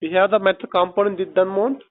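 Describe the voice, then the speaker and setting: A man's voice: high voice, fairly even intonation, quick pace. A man with an Indian accent conducting a technical job interview by telephone, pressing with probing, repeated questions. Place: phone call. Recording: tinny narrowband telephone line.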